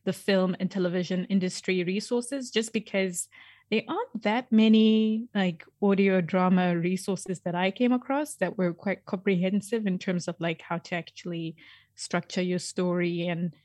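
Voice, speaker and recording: A woman's voice: lowish voice, steady tone, normal speed, darkish tone, no room sound, clean studio sound. Chatty woman, informal and upbeat. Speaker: interview guest, podcast writer. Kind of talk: casual interview chat.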